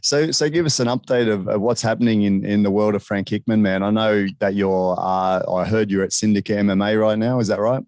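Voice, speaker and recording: A man's voice: fairly low pitch, fairly even intonation, fairly quick, few pauses, a little mellow, no echo. A man from Sydney with an Australian accent, hosting a casual interview and often tacking on "man". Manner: laid-back, says man and bro a lot. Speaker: interview host.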